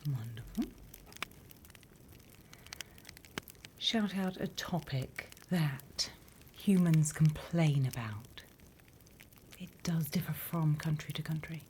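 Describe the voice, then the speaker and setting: A British woman voicing a prim demon teacher in a soft, deliberate whispered ASMR roleplay. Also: sits low, expressive, a little hiss or hum. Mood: encouraging. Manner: soft, deliberate, instructional, with pauses. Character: female demon teacher, softly menacing, encouraging, prim.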